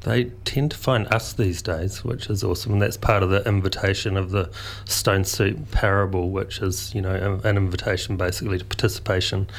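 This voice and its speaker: A man's voice: low voice, steady tone, fairly quick, gravelly, hardly any pauses. A man, a guest in a radio interview, talking in a rambling, thoughtful way with lots of restarts. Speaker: guest, food photographer and publisher.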